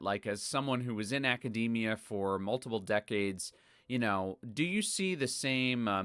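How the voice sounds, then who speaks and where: A man's voice: medium pitch, fairly bright tone, clear, resonant tone, no room sound, clean studio sound. A man hosting an interview, asking questions in a casual, conversational way with plenty of hedging and fillers. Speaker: host.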